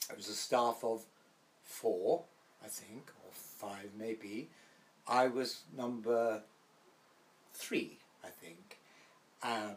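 An older man from Wales with a light Welsh accent, reminiscing as a guest in an interview and acting out the voices in his stories. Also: fairly low pitch. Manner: rambling anecdotal storyteller, acts out quoted voices. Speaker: actor guest.

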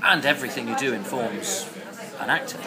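A man hosting a podcast, asking halting questions full of restarts and laughing easily. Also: mid-range voice, animated, a loud crowd. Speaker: podcast host. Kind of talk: casual interview chat.

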